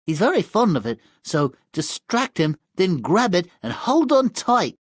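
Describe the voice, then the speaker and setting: Sardonic crone, brusque and scheming, wildly swooping pitch, dry sound, fairy tale game. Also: male voice, very animated, a little slow, husky, no room sound, heavily acted. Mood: sly, scheming. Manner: mocking, brusque. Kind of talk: theatrical video game cartoon acting.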